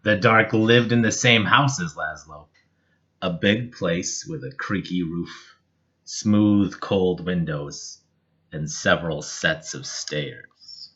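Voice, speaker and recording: A man reading a children's picture book aloud in an expressive, measured and dramatic storytelling style. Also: sits low, dull audio. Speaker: storybook reader.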